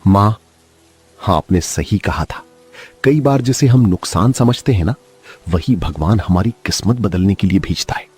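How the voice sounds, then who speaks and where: Cartoon role: man voicing an earnest poor vendor, very deep, husky. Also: expressive, unhurried, darkish tone, soft background music, exaggerated voice. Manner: earnest, heartfelt. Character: hardworking poor male food vendor Manish.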